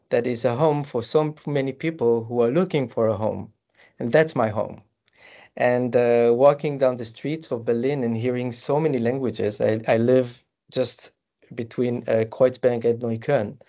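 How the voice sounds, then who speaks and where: A man from Israel whose first language is Hebrew talks as a guest in a live panel conversation. He speaks in a halting way, stopping often on fillers and starting phrases over. The recording sounds dull and muffled. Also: medium pitch, darkish tone. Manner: halting, many fillers and restarts. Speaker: guest writer.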